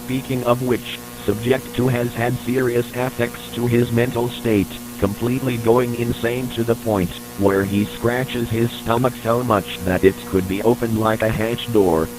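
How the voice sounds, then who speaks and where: A man's voice: medium pitch, flat delivery, measured pace, hardly any pauses, over music. A man reading a scripted, formal, matter-of-fact report as the narrator of an analog horror tape. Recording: noisy recording with electrical hum.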